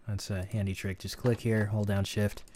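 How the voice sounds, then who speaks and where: A man's voice: on the low side, little pitch movement, moderate pace, mellow, a little hiss or hum. A man teaching sound editing in a casual, think-aloud tutorial, with keyboard clicks behind him. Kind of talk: screen-recorded tutorial.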